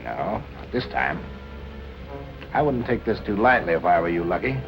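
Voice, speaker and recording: Old noir movie cop boss, lowish voice, authoritative, probing, music under old film sound. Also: male voice, sing-song, muffled recording. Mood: firm, official. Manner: brisk, authoritative, colloquial. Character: steady, probing police captain, grown man. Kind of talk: 1940s film noir acting.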